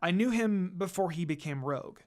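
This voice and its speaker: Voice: mid-range voice, animated, a little fast, darkish tone, lightly acted. A reader voicing a guarded, blunt young female ninja who teases and commands in a terse, dry manner. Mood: stern.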